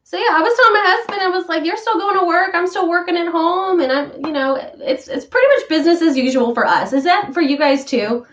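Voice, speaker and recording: A woman's voice: very high-pitched, animated, fairly quick, hardly any pauses, fairly bright tone, dull audio. A woman hosting a casual morning vlog from home, talking in a chatty, rambling way while a little stuffy from sinus trouble. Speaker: vlog host, voice worker. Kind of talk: casual morning chat to viewers.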